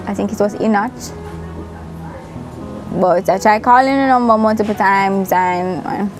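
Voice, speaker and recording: Mid-pitched woman recounting in interview. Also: a little mellow. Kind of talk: informal recounting. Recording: faint music behind the voice.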